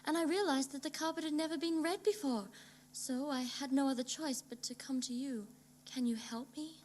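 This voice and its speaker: A woman's voice: high-pitched, normal speed, a little mellow, natural voice. A woman playing an earnest, troubled female client in a noir detective radio play, speaking dramatically. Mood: pleading, grateful.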